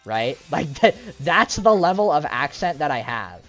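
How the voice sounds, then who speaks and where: A young woman with a very deep voice rambles casually over gameplay, hedging and restarting as she talks. Her pitch swings widely from low mutters up to sudden high bursts, while soft music plays behind her. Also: sing-song, a little slow. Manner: rambling, self-deprecating, with frequent fillers and restarts. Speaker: gaming streamer.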